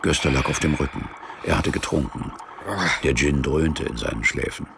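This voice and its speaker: Sombre radio play narrator, bass-deep, heavy vocal fry, deliberate, music behind. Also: male voice, background noise. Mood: sombre, detached. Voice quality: gravelly, creaky. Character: sombre male literary narrator, detached and brooding. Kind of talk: scripted narration.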